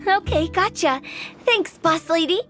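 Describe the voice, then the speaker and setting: A playful young funeral director from a video game. Her voice is very high, breezy and theatrical, full of cheeky self-assurance, with light music underneath. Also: animated, unhurried, a bit bright, heavily acted. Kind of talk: animated video game voice acting.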